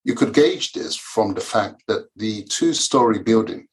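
Measured man in his fifties, reading. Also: on the low side, animated. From Nigeria. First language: Yoruba. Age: 50s. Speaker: author and lawyer. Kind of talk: reading his memoir aloud.

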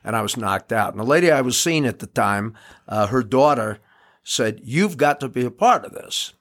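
A man's voice: mid-range voice, animated, moderate pace, a bit bright. An older man, an amateur actor, reminiscing casually as a guest in an interview.

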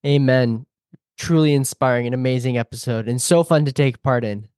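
A man's voice: medium pitch, normal speed, a little mellow, clean tone, dry recording, clean studio sound. A man co-hosting a podcast in casual chat. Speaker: podcast co-host.

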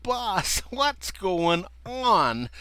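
A man's voice: high voice, big pitch swings, extremely slow, sharp tone, clean tone, no echo, voice pushed up, heavily acted. A man voicing a panicky, indignant male dog stuck in an icy river, stammering and complaining. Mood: frantic whining. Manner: frantic, stammering, whiny complaining.

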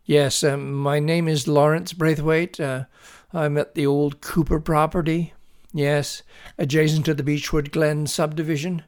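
A calm middle-aged dad in an audiobook story, voiced by a male narrator, with a gravelly edge. He drawls in a measured, reassuring and slightly sceptical way. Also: a little high, voice pushed up. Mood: businesslike, hesitant.

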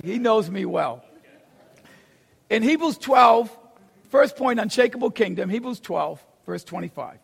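A man with a Boston accent preaching a sermon, telling stories with gasps and acted-out lines and shouting for emphasis. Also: sits high, creaky, husky. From Boston, USA. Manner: animated storyteller, acts out gasps and quotes, shouts for emphasis. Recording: long hall reverb.

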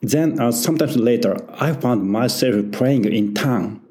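A middle-aged Japanese man with a Japanese accent, a pastor answering interview questions in halting English full of pauses and small laughs. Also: medium pitch, lively intonation, a little mellow. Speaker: church founder and senior pastor.

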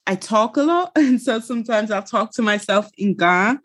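A woman's voice: fairly high pitch, lively intonation, moderate pace, fairly bright tone. A young woman with a Yorkshire accent, chatting as an interview guest and laughing easily. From Finland, raised in England. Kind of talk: casual interview talk.

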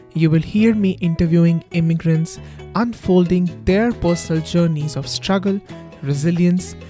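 A man's voice: a little high, mellow. A young man with an Indian accent, hosting a podcast and reading a scripted intro in a wry, conversational way. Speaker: podcast host.

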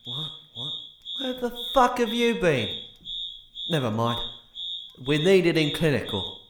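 Exasperated henchman, sarcastic, highish voice that swings around, slow delivery. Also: very animated, background noise. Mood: irritated, sarcastic. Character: irritable, foul-mouthed male henchman in charge. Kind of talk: audio drama acting.

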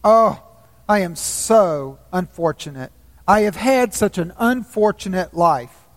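A man's voice: high-pitched, expressive, takes it slowly. A man voicing a weary, upset orphan boy who complains and asks anxious questions. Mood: plaintive. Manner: plaintive, questioning. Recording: long hall reverb.

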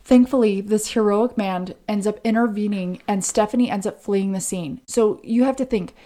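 A woman with a warm, rounded, relaxed tone co-hosts a true crime podcast. She lays out the case conversationally, as a story, explaining each step earnestly. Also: medium pitch, normal speed.

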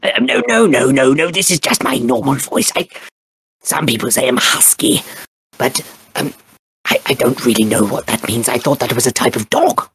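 A stammering, husky bird-folk cleric, played by a man in a tabletop game. His voice has a gravelly fry and a breathy rasp. He grows solemn when he speaks of his goddess. It is recorded dry and close. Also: mid-range voice, very animated, moderate pace, a bit bright, no room sound, exaggerated voice. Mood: flustered. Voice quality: husky, raspy. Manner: stammering, hesitant, then solemn when he preaches. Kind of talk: tabletop character interview.

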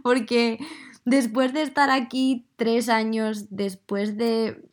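A woman's voice: fairly high pitch, level tone, takes it slowly. Chatty woman with a Madrid accent. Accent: Madrid Spanish, slightly softened. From Madrid, Spain. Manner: chatty, conversational, addresses listeners directly. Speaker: podcast host. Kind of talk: casual solo podcast monologue.

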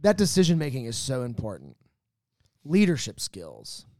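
A man's voice: medium pitch, huge pitch swings, normal speed, warm tone, no room sound. A man co-hosting a podcast with casual comedic banter in a wry, mock-serious manner. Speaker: podcast co-host.

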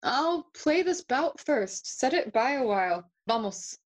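A woman playing a playful, philosophical young prince in a bilingual audio drama, speaking classical verse with a measured, theatrical manner. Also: high-pitched, very animated, full character voice. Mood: taunting, playful. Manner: measured, theatrical, switching between English and Spanish.

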